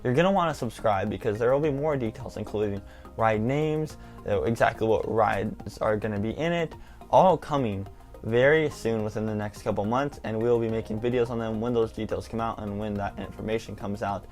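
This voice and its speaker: A man's voice: lowish voice, brisk, a little mellow, faint music behind. A nineteen-year-old man, hosting a casual theme park vlog, rambling enthusiastically with lots of "like". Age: young adult. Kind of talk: casual excited vlog talk.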